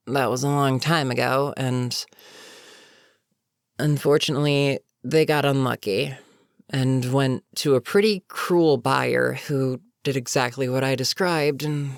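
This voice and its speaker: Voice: medium pitch, steady tone, unhurried, gravelly, dry recording, clean studio sound. A performer voicing a weary goblin captain in a roleplay audio, chatting casually and sardonically with a captive, amused yet businesslike. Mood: rueful. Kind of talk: immersive character roleplay monologue, conversational.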